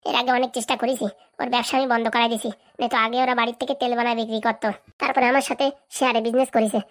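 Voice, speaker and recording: A woman's voice: on the high side, level tone, racing, close to an everyday voice. A woman voicing a greedy, domineering village madam with a rural Bengali accent in a comedy cartoon. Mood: wondering, suspicious. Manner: domineering, scolding. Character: bossy, greedy village madam running for election.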